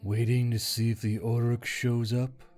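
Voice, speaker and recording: A man voicing a dry, measured sentient magical object in a fantasy story. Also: mid-range voice, level tone, a little mellow, lightly acted. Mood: dry, indignant. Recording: background music under the voice.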